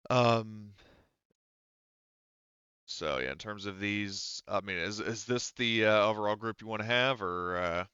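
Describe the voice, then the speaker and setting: Male game master, deep and clean-toned, halting casual delivery, slightly dull dry audio. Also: sharp tone, smooth, dry recording. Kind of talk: narrating an online tabletop game.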